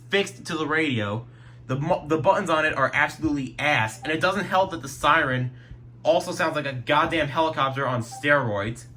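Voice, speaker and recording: A man with a bright, fairly high voice talks through his gear in a loose show-and-tell, often circling back and restarting sentences. He swears casually as he goes. A faint hiss of ambient noise sits under his voice. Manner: rambling, self-correcting, swears casually. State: throat getting sore. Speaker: YouTuber showing his weather radio collection. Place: at home at night.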